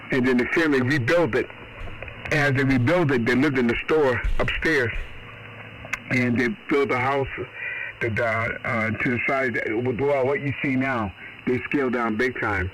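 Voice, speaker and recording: A man from Boston chats casually over shortwave radio with some static behind him. His voice sits at an average pitch. He rambles in a folksy, informal way as an amateur radio operator. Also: a bit bright. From Boston, United States. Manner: folksy, rambling, frequent "man" and "you know". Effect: heard over shortwave ham radio. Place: home radio shack. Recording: noisy shortwave radio transmission.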